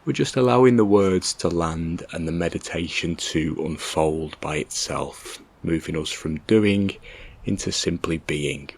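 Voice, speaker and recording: Man, meditation guide, gentle and calm, unhurried delivery, husky low voice, long pauses. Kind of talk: guided meditation, soft slow instruction.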